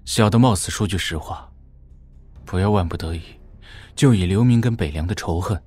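A man's voice: low voice, moderate pace, darkish tone, soft background music. An actor playing a shrewd, obsequious warlord who reports deferentially and colloquially to his overlord in an audio drama. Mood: servile, candid.

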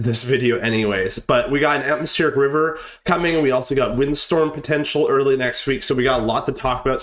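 A man's voice: medium pitch, a bit bright. A young man getting over a cold, casually walking through a weather forecast with lots of ums and restarts. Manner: rambling, many ums and restarts.

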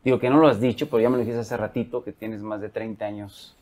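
A man's voice: fairly low pitch, steady tone, quick pace, crowd noise. A Mexican man in his mid-forties hosting a podcast, asking curious questions in a loose, rambling way. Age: 40s. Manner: curious, rambling, many restarts. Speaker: podcast host. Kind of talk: casual chat.

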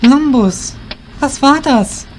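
A man's voice: extremely high pitch, slow, pitched above the performer's own voice. Guy doing a jumpy young rogue in a fantasy audio play, raised voice, some background noise. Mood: anxious, urgent.

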